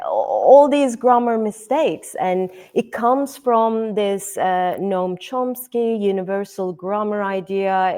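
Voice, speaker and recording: Middle-aged woman from Turkey, native Turkish speaker; unhurried, thoughtful interview guest, filler-heavy. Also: lowish voice, dark tone. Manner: thoughtful, many fillers, repeats "et cetera". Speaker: guest educator. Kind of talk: podcast interview.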